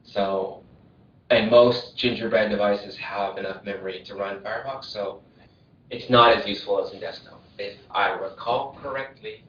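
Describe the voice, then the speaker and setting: A man's voice: on the low side, steady tone, moderate pace, fairly bright tone, slight background noise. Upbeat man on an echoey distant line. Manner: upbeat, laughing, chatty. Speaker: Android support lead. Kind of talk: casual meeting update. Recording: echoey, distant, muffled call audio.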